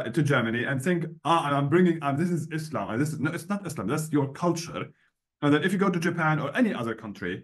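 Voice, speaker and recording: Give me an opinionated middle-aged man explaining things over a video call from a room full of books. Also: on the high side. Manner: opinionated, explains with many restarts and asides. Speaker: interview guest. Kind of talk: casual video call interview.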